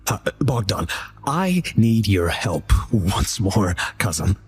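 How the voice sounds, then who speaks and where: A low-voiced male kidnapper played by a man in an audio drama, with music underneath. He is controlling yet anxious, swinging between coaxing and panic. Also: big pitch swings, slow, slightly rough, heavily acted. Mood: nervous, pleading. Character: anxious, controlling kidnapper, soothing one moment, panicky the next.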